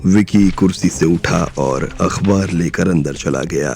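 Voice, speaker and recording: A man tells the story in a slow, measured voice. His tone sits very low and has a gravelly rasp. Soft background music plays under the narration.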